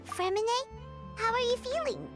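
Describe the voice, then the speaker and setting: A woman voicing a tiny, excitable, childlike fairy companion in a video game, bubbly and expressive. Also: very high-pitched, normal speed. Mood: concerned, relieved. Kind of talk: video game character acting. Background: game music.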